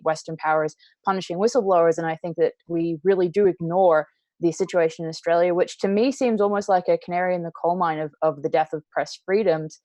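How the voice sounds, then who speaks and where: A woman's voice: fairly low pitch, darkish tone, dry recording. A woman from the United States giving conversational political commentary, searching for her phrasing as she goes. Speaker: panel co-host.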